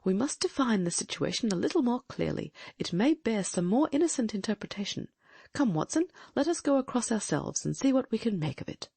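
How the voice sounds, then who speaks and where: A woman voicing a keen, commanding male detective with a brisk, inquisitive, exclamatory manner in an audiobook. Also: fairly high pitch, sing-song, creaky, warm tone, husky, no room sound, heavily acted. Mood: urgent.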